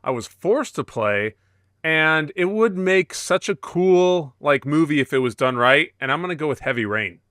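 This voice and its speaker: A man's voice: on the high side, expressive, moderate pace, fairly bright tone, smooth, no echo, studio quality. A man co-hosting a casual gaming podcast in an informal, joking way. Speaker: podcast co-host.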